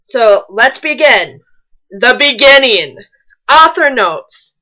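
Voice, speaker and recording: Very high-pitched, animated woman. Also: slow pace, low-quality recording. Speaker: co-host reading fan fiction aloud. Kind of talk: comedic reading with casual commentary.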